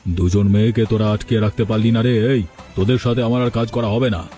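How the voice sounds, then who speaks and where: A man's voice: fairly low pitch, steady tone, a little fast, mellow, natural voice. A man playing a bossy, scheming criminal in a cartoon story, talking in a familiar, commanding way. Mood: angry. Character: scheming male village trafficker and his masked boss. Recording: music behind the voice. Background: background music.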